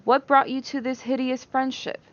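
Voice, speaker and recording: A woman's voice: high voice, level tone, normal speed, voice pushed up, close to an everyday voice. Young royal bridegroom, blunt and aghast, storybook delivery, slightly lo-fi woman acting. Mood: appalled.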